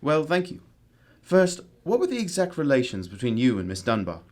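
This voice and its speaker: A man playing a composed, courteous, analytical gentleman detective with a formal, measured manner in an amateur radio drama. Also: mid-range voice, very animated, natural voice. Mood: courteous, firm.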